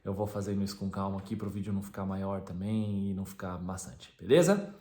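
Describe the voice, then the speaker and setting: A man's voice: deep voice, fast, mellow. Brazilian man vlogging in an echoey room. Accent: Brazilian Portuguese. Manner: rambling, frequent "né" fillers and restarts. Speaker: teacher and vlogger. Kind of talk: casual vlog monologue.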